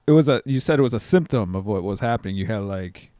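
A man's voice: fairly low pitch, lively intonation, fast talker, dark tone, no echo. A man co-hosting a podcast, chatting casually with friends. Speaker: podcast co-host. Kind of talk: casual chat.

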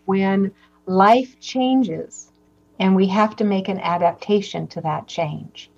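A woman hosting a video call panel, gently and encouragingly introducing each guest. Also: mid-range voice, takes it slowly, gravelly, lo-fi sound. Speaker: host. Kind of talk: moderating a panel conversation.

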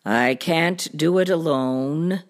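A woman's voice: low-pitched, slow, voice pushed down. A woman voicing a big, cocky male cat from a children's storybook, drawling and chuckling with lazy, boastful confidence. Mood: pondering.

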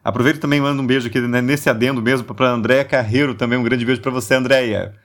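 A man's voice: medium pitch, steady tone, very fast. A man with a Brazilian accent hosting a casual religious podcast, chatty and full of little tag questions, his voice nasal from a stuffy cold. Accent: Brazilian Portuguese. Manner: chatty, warm asides, many tag questions. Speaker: podcast host.

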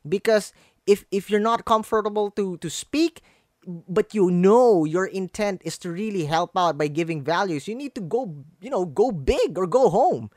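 A man's voice: sits high, normal speed, a little mellow, no echo. Dry-sounding Filipino man, motivational branding coach. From Philippines. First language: not English. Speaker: branding coach, guest speaker. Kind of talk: motivational conversational talk. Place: online video call.

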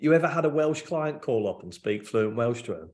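A man's voice: mid-range voice, expressive, a little fast, darkish tone. A man hosting a podcast, reading a short opening then chatting informally with his guest, often repeating himself. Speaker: podcast host. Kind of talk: scripted intro then casual interview chat. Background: faint background music.

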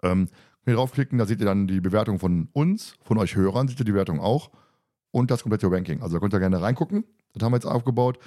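A man with a fairly low voice talks very quickly as he moderates a casual podcast. He is chatty and teasing, and the sound is dry and close. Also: warm tone, dry recording. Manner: joking, teasing, talkative. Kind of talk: casual podcast chat and announcements.

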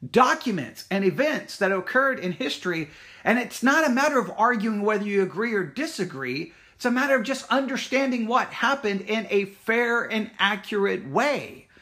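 A man's voice: high voice, bright, forceful tone. A man speaking informally as a church teacher, emphatic and insistent, often repeating his points. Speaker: Baptist church teacher and podcast host. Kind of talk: informal spoken announcement and church history teaching.

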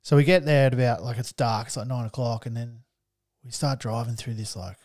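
Young Australian guy, podcast co-host, relaxed yarn-spinner, dead dry mic. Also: mid-range voice, brisk, dry recording. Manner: laid-back storyteller, swears freely. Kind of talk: casual chat.

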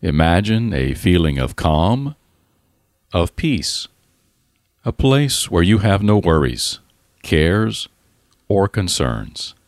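A man narrating a scripted guided relaxation in a calm, soothing, deliberate manner with pauses. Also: sits low, no room sound, clean studio sound.

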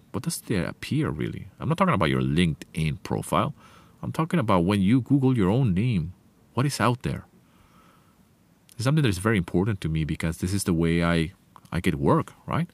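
A man whose first language is Spanish, hosting a solo motivational podcast in an earnest, conversational way with frequent restarts. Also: low-pitched. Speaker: podcast host.

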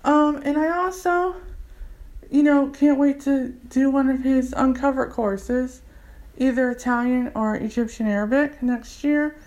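A woman's voice: high voice, unhurried, darkish tone, low-quality recording. A woman in her forties, a community English tutor, speaking earnestly and enthusiastically in a casual personal testimonial. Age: 40s.

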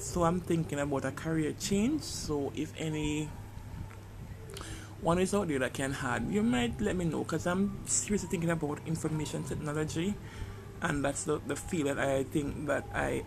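Gravelly Jamaican man, hesitant and reflective. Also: fairly high pitch, noisy background. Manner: hesitant, reflective, searching for words. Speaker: podcast host. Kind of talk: casual personal monologue.

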